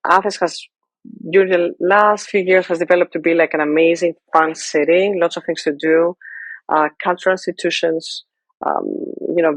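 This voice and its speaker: A woman's voice: low voice, no echo, poor audio. A woman in her forties from Greece with a heavy Greek accent, answering a podcast host's questions earnestly, often restarting her sentences. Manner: earnest, with frequent restarts and fillers. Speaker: guest, political candidate.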